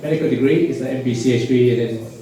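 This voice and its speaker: A man from Malaysia in his forties, a surgeon telling his life story to a church youth group in a relaxed, plain way with many "um"s. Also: mid-range voice, quick pace. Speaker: surgeon giving a testimony. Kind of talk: personal testimony talk to youth. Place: church hall. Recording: very echoey hall, voice from far off, faint music.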